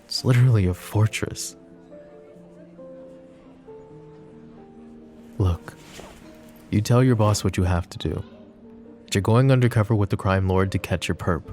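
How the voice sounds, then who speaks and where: A man voicing a possessive, flirtatious mafia boss in an ASMR roleplay drama, with a low, soft, teasing drawl. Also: normal speed, soft background music, natural voice. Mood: coaxing. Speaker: voice actor.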